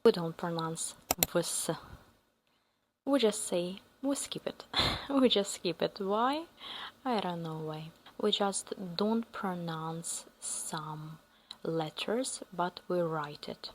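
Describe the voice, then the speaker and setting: A woman with a Russian accent teaching a beginner language lesson, repeating each phrase slowly for learners to copy. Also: lowish voice, slight background noise.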